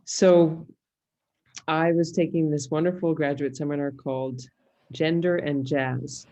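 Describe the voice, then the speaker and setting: A woman's voice: sits low, steady tone, measured pace, mellow. A woman with a Californian American accent, talking casually as an interview guest and thinking aloud with some hesitation. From California, United States. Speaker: interview guest, musicologist and fiddler.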